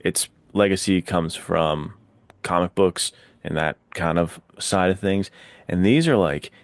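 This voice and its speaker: A man with a fairly low voice, recorded dry without room sound. He hosts a casual book chat and talks with informal, enthusiastic slang. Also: steady tone, a little slow, no echo. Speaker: podcast host, writer.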